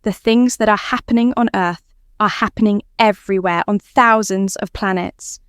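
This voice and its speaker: Blunt, overworked spacefaring heroine for audio fiction, read by a woman, clear tone, close dry sound. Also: mid-range voice, moderate pace, dry recording, natural voice. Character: blunt, overworked female space-faring hero.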